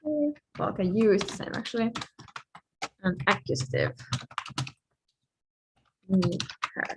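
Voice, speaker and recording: A woman who is a native Finnish speaker gives an informal live-coding lecture, thinking aloud with playful asides and pauses mid-thought. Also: medium pitch, expressive, a little mellow, slight background noise, low-quality recording. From Finland.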